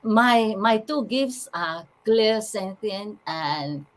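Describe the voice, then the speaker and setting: Guest: woman with a Malaysian accent, soft-spoken, simple phrasing, mid pitch. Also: lively intonation, extremely slow. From Sarawak, Borneo, Malaysia. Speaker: guest, channeler. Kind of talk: casual interview.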